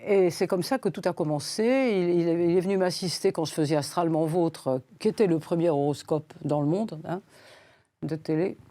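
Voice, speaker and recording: A woman's voice: lowish voice, mellow, dry recording. A woman in her eighties, a guest in a studio interview, talking in a rambling way with many hesitations and repeated words. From Switzerland. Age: 80s. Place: studio set.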